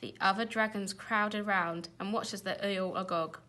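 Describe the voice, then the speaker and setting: Woman, schoolteacher, expressive children's story narration, bright tone, mid-range pitch. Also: moderate pace. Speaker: primary school teacher reading aloud. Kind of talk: animated children's storybook reading.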